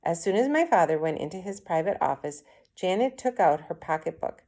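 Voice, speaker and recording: A woman with a slightly low voice reads a children's novel aloud. She narrates in the first person as a storyteller. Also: animated, brisk, warm tone. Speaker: read-aloud reader.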